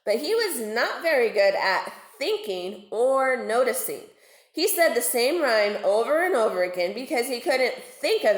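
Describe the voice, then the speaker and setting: A woman reading a children's storybook aloud like a teacher, deliberate and expressive with dramatic emphasis. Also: a little high. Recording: roomy, echoing home recording.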